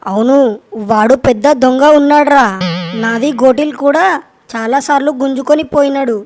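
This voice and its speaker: A woman's voice: sits high, steady tone, normal speed, darkish tone, soft background music, natural voice. A woman voicing a cheeky, lazy, whining village boy with a Telangana accent in a cartoon comedy. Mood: grumbling. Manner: cheeky, whining, back-talking. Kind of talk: cartoon comedy dubbing in dialect. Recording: faint noise behind the voice.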